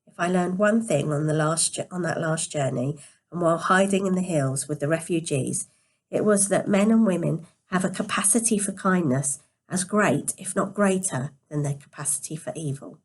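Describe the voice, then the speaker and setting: A woman narrates a novel in a slightly low register. Her voice is quiet and tired, as if sleepy. She reads carefully, now and then tripping on a word and picking it up again. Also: normal speed. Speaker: storyteller reading a novel aloud. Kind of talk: scripted story narration.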